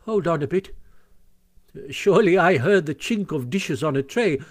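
A man voicing a sensible, patient water rat in a storybook, practical and gently chiding. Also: fairly high pitch, lively intonation, normal speed, voice pushed up. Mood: soothing. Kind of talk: storybook character voice.

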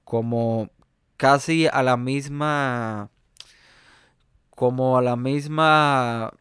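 Male jazz pianist, Cuban accent, resonant voice, halting conversational delivery. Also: mid-range voice, steady tone, a bit bright, clear, resonant tone, dry recording, studio quality. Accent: Cuban Spanish. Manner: hesitant, many fillers and restarts. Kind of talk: conversational interview.

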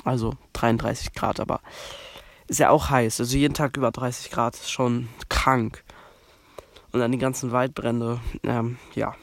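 A man's voice: mid-range voice, no room sound. A young man from Germany with a cold, hosting a podcast in a rambling casual monologue, full of fillers and restarts. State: has a cold. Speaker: podcast host.

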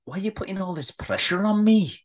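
A woman's voice: mid-range voice, animated, a little fast, lightly acted. A woman playing a feisty, indignant Scottish woman in acted drama dialogue, with blunt, colloquial delivery. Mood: guarded. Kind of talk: amateur script read-through, acted dialogue.

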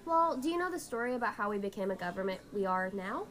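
A woman's voice: medium pitch, expressive, fast, fairly bright tone, natural voice. A woman playing a young woman who patiently explains history to her friend in a scripted amateur radio drama. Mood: chatty, friendly.

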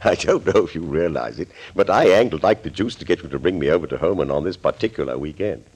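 Low, suave villainous doctor. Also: male voice, expressive, quick pace, darkish tone, slightly rough, exaggerated voice. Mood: smug, candid. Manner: polite, wordy, theatrical confessing. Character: suave, smug villainous doctor, an uncle caught out. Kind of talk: 1940s radio thriller acting.

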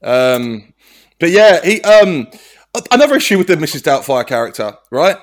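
A man's voice: a little high, sing-song, normal speed. A man in casual comedy chat, sweary and rambling, laughing often and restarting his sentences.

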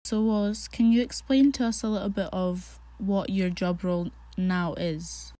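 A woman's voice: mid-range voice, fairly even intonation, normal speed, mellow, no room sound. A woman hosting a podcast, presenting introductions and interview questions. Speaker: podcast host interviewing a guest.

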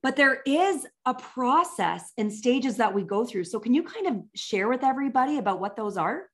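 A woman's voice: a little high, big pitch swings, moderate pace. A woman interviewer hosting a conversation in a casual, conversational style. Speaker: interviewer, host.

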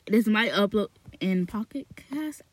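A woman's voice: mid-range voice, lively intonation, a little slow. Rambling woman reading aloud in a closet. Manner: rambling, repeats words, frequent fillers and restarts. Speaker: podcast host reading a novel aloud. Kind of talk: slow read-aloud, then rambling casual chat. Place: small closet at home.

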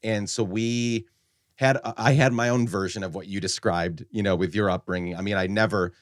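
A man from Guatemala hosting an interview, speaking earnestly and reflectively with frequent halting restarts. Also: lowish voice, fairly even intonation, no room sound, clean studio sound. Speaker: podcast host.

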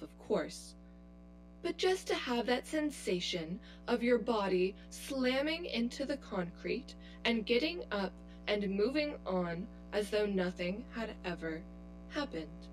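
Actress as a slow, taunting, superior inner double. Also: female voice, mid-range voice, rough recording. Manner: precise, clinical, condescending. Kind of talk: scripted audio drama acting.

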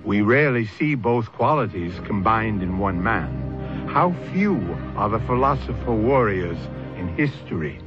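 Casting: male radio drama host, lively rise and fall, deliberate theatrical narration, music behind, slightly dull old recording. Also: on the low side.

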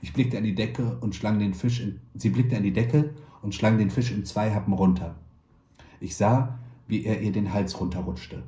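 Guy reading a story out loud, lowish mellow voice, calm and steady, kinda echoey room. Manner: calm, measured storytelling with lightly voiced dialogue. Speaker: actor reading a short story. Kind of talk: literary reading.

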